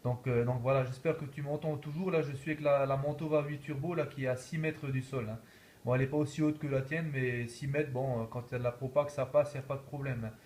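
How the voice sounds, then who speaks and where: A man's voice: mid-range voice, fairly even intonation, fast talker, a little mellow. A man talking casually as an amateur radio operator, repeating himself and peppering his talk with verbal tags. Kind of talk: casual radio contact chat.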